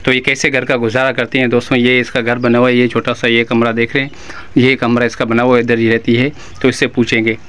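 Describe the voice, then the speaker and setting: A man's voice: mid-range voice, level tone, brisk, fairly bright tone, clear, resonant tone. A young man vlogging in a village, talking into a handheld phone and addressing his viewers over and over. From Pakistan. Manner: repetitive, pleading, keeps calling out "guys" and "friends". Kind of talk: walking tour vlog with interviews. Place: outdoors in a village among huts. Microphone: handheld phone camera.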